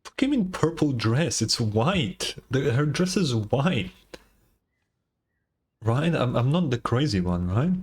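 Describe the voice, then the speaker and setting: Husky young man reacting to a game. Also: mid-range voice, sing-song, takes it slowly. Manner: slangy, profane, incredulous. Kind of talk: casual gaming reaction commentary.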